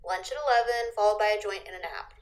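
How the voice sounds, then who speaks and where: A woman's voice: medium pitch, fast talker, close to an everyday voice. A woman playing a helpful, nudging young female friend in an audio drama, with a casual, teasing manner. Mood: eager, teasing.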